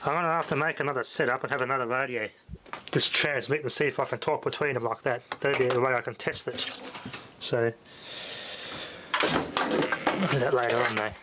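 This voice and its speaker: A man's voice: mid-range voice, fairly even intonation, moderate pace, long pauses. A man giving casual, unscripted commentary as he tests equipment hands-on, in an offhand, half-to-himself way. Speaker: hobbyist demonstrating radio equipment. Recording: loud ambient noise throughout.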